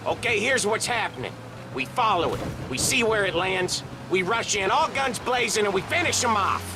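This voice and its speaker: A male actor playing an excitable, hot-headed 1930s mobster in a video game, talking tough over engine and gunfire noise. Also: sits high, moderate pace. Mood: cocky, emphatic. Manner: excitable, emphatic tough-guy talk. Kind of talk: video game cutscene acting.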